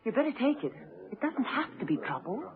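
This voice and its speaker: Cool, witty female agent, radio drama: expressive range, narrow old-radio sound. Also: on the high side, fairly quick, a crowd in the background. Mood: playful, relaxed. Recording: band-limited old radio recording.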